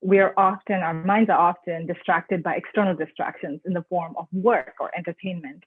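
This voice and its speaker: A woman from Nepal talks calmly and thoughtfully as a guest on a video call. Her voice comes through a narrow, tinny, phone-like line. There is no room sound at all, just dry and close. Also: lowish voice, no echo. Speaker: guest mindfulness trainer. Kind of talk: conversational webinar interview.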